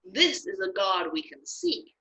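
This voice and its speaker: A woman's voice: mid-range voice, big pitch swings, measured pace, pushed and bright. A woman voicing the cranky, complaining people of Israel in a children's Bible story. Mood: eager. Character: the people, cranky grumbling crowd.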